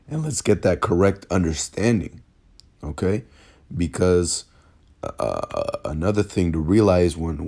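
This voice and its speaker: A man who is hoarse from a cold, with a raspy voice, teaching an informal bible lesson in a halting way, repeating words and using many fillers. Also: low voice, warm tone. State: hoarse from illness. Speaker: religious teacher. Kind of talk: informal bible lesson over screen recording. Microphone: phone screen recording.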